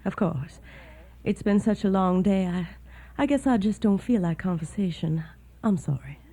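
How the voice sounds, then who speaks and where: An actress playing a proud, sorrowful young Southern wife in a radio drama. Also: female voice, mid-range voice, animated, normal speed, mellow. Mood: weary, sad.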